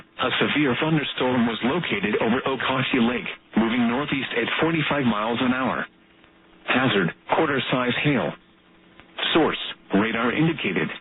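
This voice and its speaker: A synthetic-sounding man reading formal emergency weather alerts in a flat, measured way over a tinny radio broadcast. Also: mid-range voice. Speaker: automated weather radio announcer.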